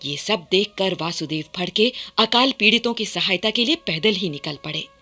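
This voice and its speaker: A woman's voice: mid-range voice, expressive, normal speed, sharp tone. A woman giving scripted, dramatic narration for a radio play.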